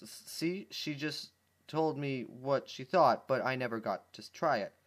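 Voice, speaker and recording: A man's voice: medium pitch, unhurried, close to an everyday voice. A man voicing a flustered, defensive female cartoon pegasus pony, evasive, in an amateur fan fiction reading. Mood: stammering, evasive.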